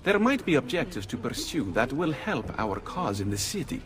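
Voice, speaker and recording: An actor playing a shrewd, wary Italian leader of a thieves' guild in a dramatic game scene. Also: male voice, mid-range voice, very animated, normal speed, natural voice. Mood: warming, confiding. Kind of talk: dramatic video game acting.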